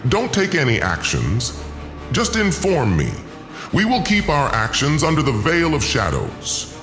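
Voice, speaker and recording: A man's voice: on the high side, wildly swooping pitch, measured pace, fairly bright tone, faint music behind, pitched above the performer's own voice, heavily acted. A man playing an imperious, gloating alien lord in an audio drama, with a pompous, commanding and menacing delivery. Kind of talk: first-person audio drama villain.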